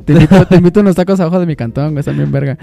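Fast-talking, slangy Mexican young man. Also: on the high side, gravelly, dark tone. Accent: Mexican Spanish. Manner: slangy, swears freely, peppers speech with filler words. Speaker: podcast co-host. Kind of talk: casual chat.

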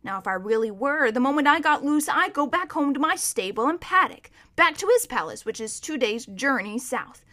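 A woman's voice: high voice, expressive, moderate pace, hardly any pauses, pushed and bright, pitched above the performer's own voice. A woman reading a storybook as a proud, bossy but kindly male war horse, with an emphatic, lecturing manner. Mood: assured. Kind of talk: children's storybook character reading.

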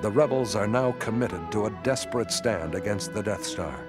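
A man narrating a radio drama in a solemn, measured announcer style. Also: on the low side, loud music behind the voice, close to an everyday voice. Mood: grave, suspenseful.

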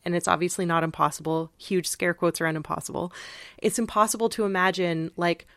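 A woman's voice: lowish voice, fairly even intonation, fairly quick, fairly bright tone, dry recording, clean studio sound. A woman co-hosting a podcast in casual, informal discussion, often checking for agreement as she explains. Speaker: podcast co-host.

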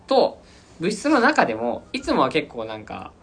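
A man chatting casually and enthusiastically as he pitches drama ideas, acting out imagined lines. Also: huge pitch swings, normal speed, vocal fry, fairly bright tone, breathy edge, soft background music. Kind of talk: casual creative brainstorming chat.

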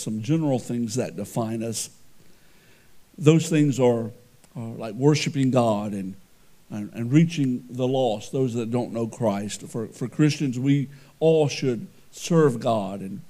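A middle-aged man preaching a sermon as pastor, with a loud, carrying voice and an earnest, conversational delivery full of restarts. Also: medium pitch. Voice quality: loud voice that carries.

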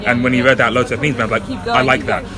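A man with a deep voice, hosting a casual podcast interview outdoors. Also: steady tone, a crowd in the background. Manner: quick, chatty and friendly. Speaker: podcast host. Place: outdoors in an urban smoking area. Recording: loud music in background.

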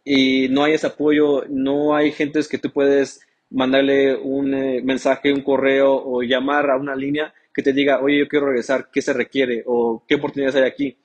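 A young man whose first language is English and who grew up in the United States speaks in a steady, flat tone as a guest in an interview. He hesitates often, restarts his sentences and fills gaps with small stalling words. His pitch stays at a middle level for a man. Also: normal speed, hardly any pauses, lo-fi sound. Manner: hesitant, many fillers and restarts. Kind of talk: casual interview talk.

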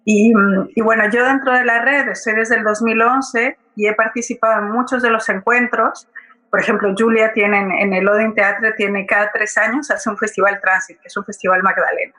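A woman's voice: a little high, steady tone, moderate pace, pushed and bright, low-quality recording. A woman from Colombia, a playwright speaking as a guest in a conversational, reflective account. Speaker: guest playwright and theatre director. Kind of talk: conversational account in a public forum.

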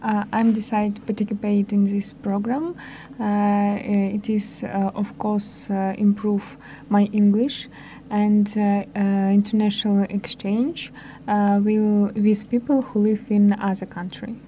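Quiet, dark, flat-voiced woman. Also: medium pitch, a little hiss or hum. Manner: very quiet, halting, far from the mic. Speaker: guest. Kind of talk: casual interview answers.

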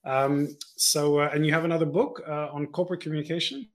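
A man's voice: on the high side, fairly even intonation, quick pace. A man hosting a casual conversation, asking his guests loose, friendly questions with some fillers. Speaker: host.

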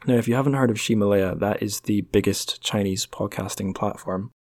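A man's voice: lowish voice, a little fast, darkish tone, dry recording, clean studio sound. A man hosting a podcast, chatting casually in a rambling, jokey, self-deprecating way. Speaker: podcast host. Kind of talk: casual solo podcast outro, chatty plugs for the show.

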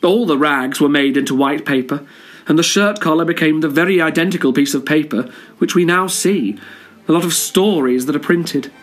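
A man narrating a classic fairy tale in a measured, moralizing storybook reading. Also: fairly high pitch.

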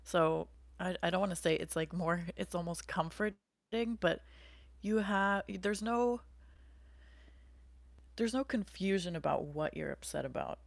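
A woman's voice: on the low side, unhurried, creaky, long pauses, no room sound. A young American woman co-hosting a podcast, talking through ideas in a chatty way with frequent likes and ums. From United States. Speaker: podcast co-host. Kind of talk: casual conversational explanation.

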